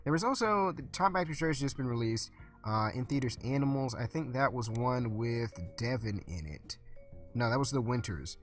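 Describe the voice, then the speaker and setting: A man's voice: medium pitch, animated, moderate pace, soft background music. A young man with a stuffy voice casually commenting while playing a management game, thinking aloud with lots of fillers. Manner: chatty, thinks aloud with frequent uh and restarts. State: stuffy, recovering from illness. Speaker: let's play host.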